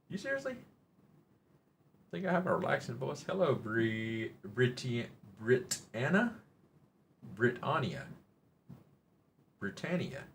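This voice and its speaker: A man's voice: mid-range voice, vocal fry, slight background noise. A middle-aged man, hosting a rambling, joking, mildly profane live-stream chat. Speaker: livestream host.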